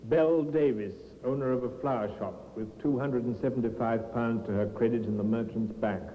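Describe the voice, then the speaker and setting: An actor playing a dutiful, methodical police sergeant, formal and matter-of-fact, in a 1950s radio drama. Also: male voice, mid-range voice, fairly even intonation, a little fast, lightly acted. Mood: deferential. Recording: dull, slightly noisy vintage radio recording.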